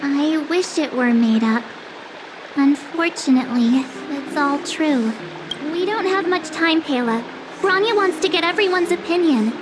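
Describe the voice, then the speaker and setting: Very high-pitched earnest game girl. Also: animated, measured pace, noisy background. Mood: grave. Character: earnest, energetic teenage girl adventurer gathering opinions. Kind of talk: game cutscene voice acting.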